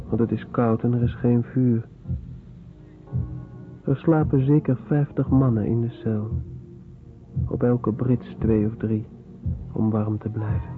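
A wretched, weary prisoner played by a man recites a letter home in a mid-pitched, plaintive voice. The radio play monologue is measured, on a dull lo-fi recording with music underneath. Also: close to an everyday voice.

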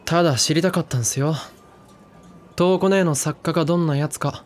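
A man's voice: on the high side, normal speed, close to an everyday voice. Man playing a breezy, cocky teenage boy. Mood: breezy, curious. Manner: casual slangy speech, confident. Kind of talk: Japanese anime audio drama acting.

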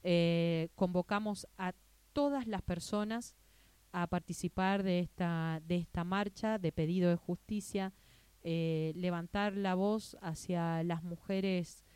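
A woman hosting a radio news show speaks with an Argentine Spanish accent in a fairly low voice. Her tone is smooth and clear, the sound dry and close. She talks casually and falters often, with fillers and restarted phrases. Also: fairly even intonation, slow pace, a little mellow, no room sound. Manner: hesitant, many fillers and restarts. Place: radio studio.

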